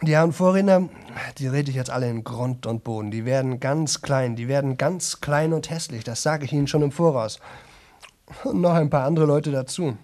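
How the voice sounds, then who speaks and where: A man's voice: medium pitch, normal speed, a little mellow, close to an everyday voice. An actor playing a boastful young man who talks nonstop to an audience in a radio play, chatty, rambling and repetitive. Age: young adult.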